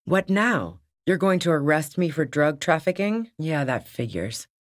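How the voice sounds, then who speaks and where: A woman's voice: deep voice, animated, unhurried, no room sound. A woman playing a tough, sardonic female lorry-driving smuggler in a video game, with a dry, sarcastic and casually profane way of talking. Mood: defiant, irritated. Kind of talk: video game character dialogue.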